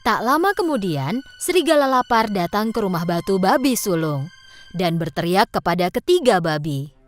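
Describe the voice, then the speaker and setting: A woman's voice: mid-range voice, big pitch swings, normal speed, soft background music. A woman narrating a scripted children's story as the storyteller.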